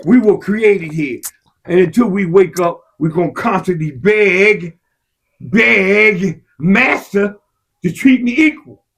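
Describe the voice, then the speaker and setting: A man in heated casual discussion, impassioned and profane, his voice rising to shouts. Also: sits high, lively intonation, slow, husky. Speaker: podcast co-host.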